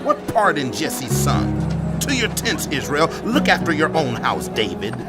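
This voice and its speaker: A man's voice: on the high side, very animated, measured pace. A man playing an indignant rebel rallying his people in a dramatized scripture, defiant and declamatory, with music behind him. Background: loud music.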